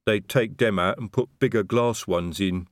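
A man doing a calm, matter-of-fact troll. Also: fairly low pitch, moderate pace, no room sound, lightly acted. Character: big calm male troll guard. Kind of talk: audiobook character voice.

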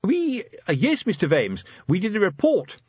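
A man's voice: sits high, wildly swooping pitch, moderate pace, a little mellow, slightly rough, no echo, dull audio, pitched above the performer's own voice, exaggerated voice. A man voicing a flustered middle-aged male watchman with a yelping voice.

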